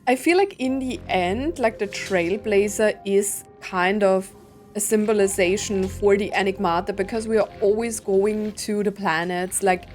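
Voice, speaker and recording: A woman's voice: medium pitch, measured pace, soft background music. A young woman streamer giving casual, chatty commentary on a game playthrough, blunt and full of fillers and small laughs. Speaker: gaming streamer.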